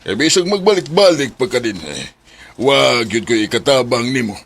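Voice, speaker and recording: A man playing a curt, dismissive middle-aged father with a rough voice in a radio drama. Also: medium pitch, big pitch swings, moderate pace, a bit bright, exaggerated voice. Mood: irritated, dismissive. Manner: curt, irritated.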